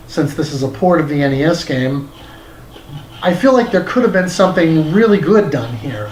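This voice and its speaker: A man's voice: on the high side, darkish tone, slight background noise, rough recording. A man reading a scripted video game review as its narrator.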